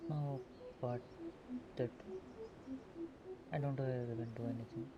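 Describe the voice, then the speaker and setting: A woman doing casual gameplay commentary, with a murky, deep voice picked up on a phone earphone mic. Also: fairly even intonation, takes it slowly, muffled recording, a crowd in the background. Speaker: gaming video host. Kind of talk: casual gameplay commentary, reading dialogue aloud. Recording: murky earphone mic, loud game music behind.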